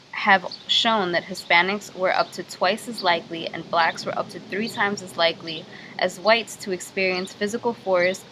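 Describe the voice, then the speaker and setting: Young woman, student interviewer, prepared questions, average pitch, light background hiss. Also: a bit bright, low-quality recording. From United States. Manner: reads prepared figures with small stumbles. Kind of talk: scripted class presentation and interview.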